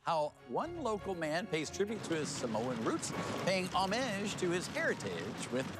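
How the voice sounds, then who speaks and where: A man's voice: high-pitched, sing-song, moderate pace, over loud music. A man anchoring TV news in a casual, playful, folksy style, full of jokey asides. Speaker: TV news anchor and feature reporter.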